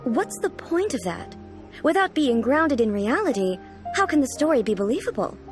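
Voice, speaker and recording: A woman's voice: high-pitched, sing-song, moderate pace. A woman playing a dignified, reclusive ruler in a video game, speaking formally and pensively with earnest, naive puzzlement. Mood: skeptical, puzzled. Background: game music.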